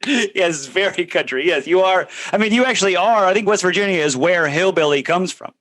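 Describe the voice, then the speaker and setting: A man's voice: high-pitched, animated, fairly quick, fairly bright tone. A man co-hosting a comedy podcast, playful and teasing in casual chat. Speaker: podcast co-host.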